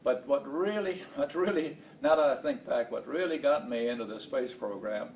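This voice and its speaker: A man in his late seventies from Estonia, a native Estonian speaker, recalling his life in an oral history interview in a halting, deliberate way with many hesitations. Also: darkish tone. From Estonia, later Baltimore, USA. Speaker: interview guest, space program veteran. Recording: distant mic, noisy rumbling background.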